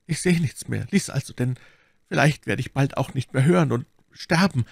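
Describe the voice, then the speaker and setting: Wounded English duke for a dramatic audiobook, played by a man: impassioned, imperious, strained, voice lifted high. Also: moderate pace, gravelly, husky, no room sound. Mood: urgent, pleading. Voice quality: faint, strained voice. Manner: exclamatory, pleading, impatient. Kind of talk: dramatic audiobook character reading.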